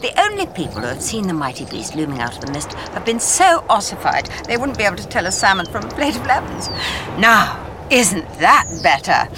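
Swooping, bossy eccentric schoolmistress. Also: female voice, medium pitch, sing-song, fairly quick, background noise. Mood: jovial, scornful. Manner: exuberant, wordy, commanding. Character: brisk, bossy, eccentric female school mistress. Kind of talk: audio drama acting.

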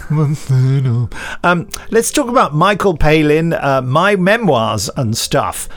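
A man in his late fifties co-hosting a podcast in casual chat, self-deprecating and quick to chuckle at his own age. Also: fairly high pitch, sing-song, fairly bright tone. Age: 50s. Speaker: podcast co-host.

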